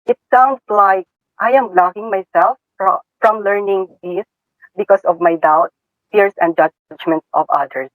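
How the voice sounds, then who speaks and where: A young man with a Filipino accent and a high-pitched voice speaks as a student guest. His account sounds prepared, and the recording is dry. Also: a little slow, fairly bright tone, dry recording, low-quality recording. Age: young adult. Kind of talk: prepared personal testimony.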